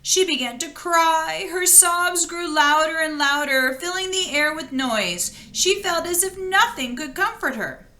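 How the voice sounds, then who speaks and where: A woman reading a fairy tale aloud as an expressive storyteller, with deliberate dramatic emphasis. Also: extremely high pitch. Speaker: storybook reader.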